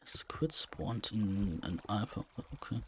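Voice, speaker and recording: Slow, gravelly young man. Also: lowish voice, animated, bright, forceful tone, slight background noise. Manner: rambling, thinking aloud with many fillers. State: slightly strained voice. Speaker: let's play gamer. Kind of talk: casual gameplay commentary.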